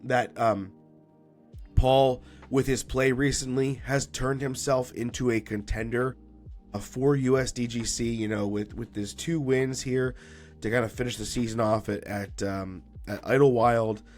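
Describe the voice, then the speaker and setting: A man hosting a solo sports recap podcast, chatty and upbeat, his voice a little raspy and deeper from allergies. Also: steady tone, measured pace, a little mellow, faint music behind. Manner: chatty and upbeat, with frequent fillers and restarts. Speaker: podcast host.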